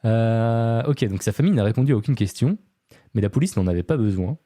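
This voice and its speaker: Dark-voiced man telling a story casually. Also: mid-range voice, a little fast, no echo, clean studio sound. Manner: relaxed, chatty, frequent fillers and asides to a co-host. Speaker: podcast host. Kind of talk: casual true-crime storytelling.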